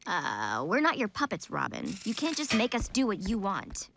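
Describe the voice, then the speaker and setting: A woman voicing a gloomy, deadpan teenage girl sorceress in a comedy cartoon, droll and gravelly. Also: mid-range voice, normal speed, background noise. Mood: unimpressed. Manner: dry, droll, unimpressed. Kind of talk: animated comedy cartoon acting.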